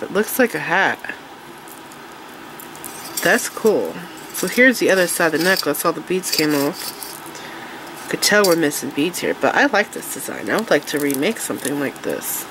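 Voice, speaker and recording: Woman, nasal stuffy voice, lowish pitch, chatty, noisy background. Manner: chatty, enthusiastic, with fillers and restarts. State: congested, nasal from allergies. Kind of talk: casual show-and-tell chat. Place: indoors at a tabletop, overhead camera on hands.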